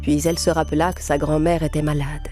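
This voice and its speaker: A woman's voice: sits low, level tone, fast talker, warm tone. A woman narrator reading a scripted fairy tale for small children in a storytelling style. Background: music.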